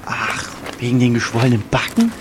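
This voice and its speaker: A man's voice: mid-range voice, big pitch swings, fairly quick, noisy background. A young man in scripted comic audio drama dialogue, with a grumbling, sarcastic manner. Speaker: performer playing himself in audio drama. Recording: music bed behind the voice.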